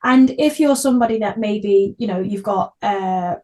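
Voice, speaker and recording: A woman's voice: medium pitch, moderate pace, a little mellow. A woman hosting a podcast, chatting casually in an earnest, effusive way. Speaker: podcast host, actor. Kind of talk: casual interview chat.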